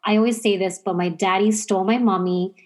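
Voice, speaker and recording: A woman's voice: medium pitch, fairly even intonation, a little fast. A woman in her forties from Zambia, a podcast guest telling her family story earnestly and with casual fillers. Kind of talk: personal storytelling in an interview. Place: remote podcast recording.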